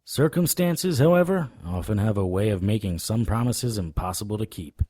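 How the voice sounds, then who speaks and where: A male actor as a wistful detective hero in a supernatural audio drama. His voice is low and very dark, and he narrates in the first person. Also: animated, moderate pace. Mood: reflective, wistful.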